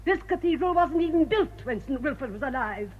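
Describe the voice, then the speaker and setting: A woman's voice: high voice, lively intonation, fairly quick. An actress playing a defiant, devout and determined woman in a British radio drama, speaking emphatic, clipped, formal English-accented lines. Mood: indignant. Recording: muffled old radio broadcast.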